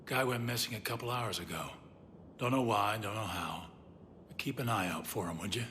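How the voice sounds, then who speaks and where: Weary, earnest resistance man, played by an actor, low-voiced. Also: a little fast, close to an everyday voice. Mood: earnest, urgent. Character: earnest, weary resistance member pleading for help. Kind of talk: dramatic video game cutscene acting. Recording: long hall reverb, faint noise.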